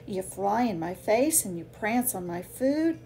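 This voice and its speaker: A woman reading a children's picture book aloud with expressive, deliberate phrasing for young listeners. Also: mid-range voice, slight background noise. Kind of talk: read-aloud children's storytelling.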